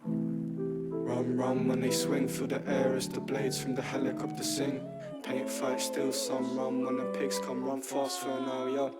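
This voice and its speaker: A man raps in a steady, rhythmic flow with almost no rise or fall in his voice. A loud hip-hop beat with guitar plays under every line. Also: mid-range voice, unhurried. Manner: flat, rhythmic rap delivery. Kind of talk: rap verse on a track.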